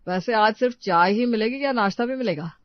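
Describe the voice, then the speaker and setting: A woman's voice: a little high, fairly quick, no echo, muffled recording, lightly acted. A woman voicing a puzzled elderly parent-in-law who questions the family in a dramatised story. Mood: curious. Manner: questioning, bemused.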